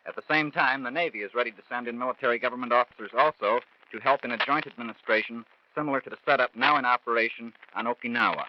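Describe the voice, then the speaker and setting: A man's voice: mid-range voice, fast talker, few pauses. An American man reporting news in a 1940s radio style. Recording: dull vintage 1940s radio broadcast.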